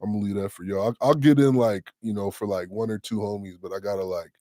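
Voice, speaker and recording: Deep-voiced young man, laid-back and slangy. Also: steady tone, fast, warm tone, dry recording. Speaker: rapper, guest. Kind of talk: casual interview chat.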